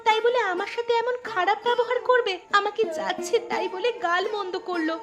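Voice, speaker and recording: A woman's voice: extremely high pitch, fairly quick, lo-fi sound, music in the background. A woman voicing an earnest, worried young daughter of a poor labourer in a cartoon folk tale, with a plaintive manner. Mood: hurt, plaintive.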